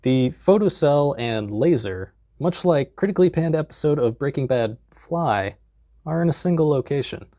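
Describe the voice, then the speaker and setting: A young man in his twenties with a lapel mic in a basement, narrating a comedic DIY tutorial in a deadpan, jokey way with mumbled asides. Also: on the high side, moderate pace, no room sound, studio quality. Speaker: DIY maker vlogger. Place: basement workshop, indoors.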